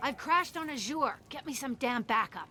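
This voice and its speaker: A woman's voice: high voice, animated, normal speed, sharp tone, soft background music. An actress playing a ruthless, menacing female alien agent in a video game, commanding and threatening. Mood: angry, urgent.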